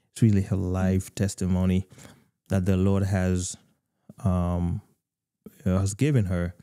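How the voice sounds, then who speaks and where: A young man hosting a live faith talk show over a video call, preaching earnestly with many filler pauses, on a studio microphone. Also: on the low side, mellow, clean tone, no echo. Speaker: host. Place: home room, video call. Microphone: studio mic with headphones.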